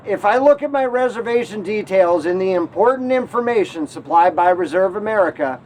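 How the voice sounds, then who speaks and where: A man's voice: high voice, a little hiss or hum, poor audio. A man from Connecticut hosting an RV travel show, telling the story in a wry, mildly aggrieved way.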